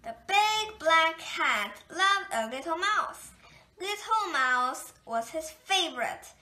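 A woman reading a children's picture book aloud in a gentle, unhurried storytelling manner. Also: extremely high pitch, big pitch swings. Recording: echoey room.

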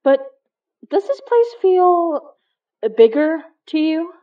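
A woman playing an anxious, hesitant young woman in a horror audio drama, speaking haltingly and naturally. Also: very high voice, very animated, muffled recording, exaggerated voice. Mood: wary.

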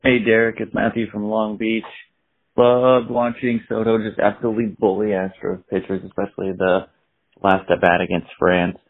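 Male sports fan caller, casual, husky and fry-laden, dull voicemail sound. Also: lowish voice, little pitch movement, normal speed. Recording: muffled, lo-fi phone voicemail.

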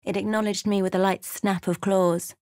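A woman narrating a fantasy game as a solemn storyteller, in a measured, dramatic manner. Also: mid-range voice, level tone, a little mellow, no echo, studio quality, lightly acted.

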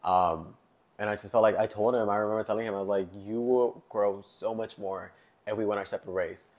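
A man in his early twenties talks loosely on a sofa as a podcast host, his voice sitting a little low. He rambles with fillers and quick laughs. A clip-on mic picks him up clearly in a living-room set. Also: steady tone, a little mellow. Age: 20s. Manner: chatty, laughs often, many fillers. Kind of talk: casual chat. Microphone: lapel mic.

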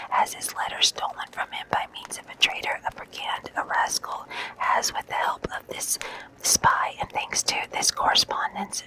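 A reader voicing a vain, boastful male musketeer in a breathy whisper, emphatic and theatrical. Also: lightly acted, low-quality recording. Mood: indignant.

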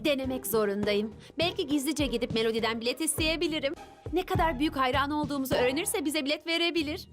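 A woman's voice: high-pitched, fast, close to an everyday voice. A woman voicing a determined, plucky young girl in a dubbed children's cartoon. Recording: music under the voice.

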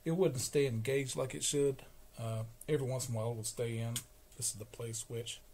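A man giving an informal, halting, explanatory narration of a hands-on repair, as a repair vlogger at a workbench. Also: mid-range voice, steady tone, a little mellow. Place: indoor electronics workbench. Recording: clearly noisy workshop camera recording.

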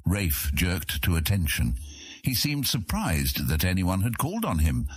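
A man narrates a fantasy audiobook in an extremely deep, husky voice. His scripted reading moves with lively inflection. The recording is close and dry. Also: measured pace, dry recording.

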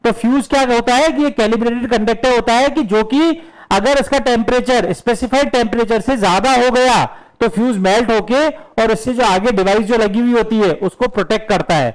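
A man's voice: extremely high pitch, a little fast, poor audio. A middle-aged Indian man with a headset mic, teaching electrical engineering at a whiteboard and answering his own questions as he explains. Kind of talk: whiteboard lecture mixing Hindi and English. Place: indoor classroom studio with whiteboard.

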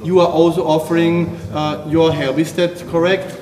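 A male interviewer, measured and a little hesitant. His voice is fairly high and very even in tone, heard in a reverberant room. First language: not English. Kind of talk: unscripted interview questions. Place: seated indoors in a gym lounge. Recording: echoey hall, faint background noise.

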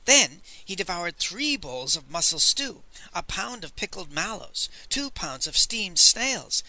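A man acts out an earnest young storybook servant. He is wide-eyed and emphatic, with a high, very bright voice. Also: very animated, a little slow, dry recording, heavily acted, low-quality recording. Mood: shaken, amazed.

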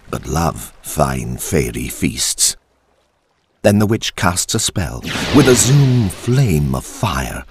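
A man narrating a dark verse tale as a storyteller, theatrical, deliberate and ominous, with a husky deep voice. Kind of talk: dramatic scripted storytelling in verse.

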